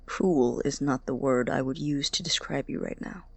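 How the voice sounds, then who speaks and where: A woman playing an anxious, guarded college-age young man in an audio drama, speaking haltingly and apologetically. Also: a bass voice, gravelly, slightly rough, full character voice. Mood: reluctant, dry. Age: young adult. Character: awkward, anxious young male college student, studious and guarded. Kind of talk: naturalistic audio drama acting.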